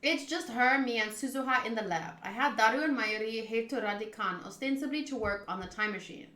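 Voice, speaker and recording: A woman game streamer with a high, bright voice. She chats and reads aloud theatrically, often drifting into asides, in a roomy, echoing space. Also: brisk. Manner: chatty, theatrical, swerving into asides. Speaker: streamer playing a visual novel. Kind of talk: casual live playthrough commentary, reading aloud.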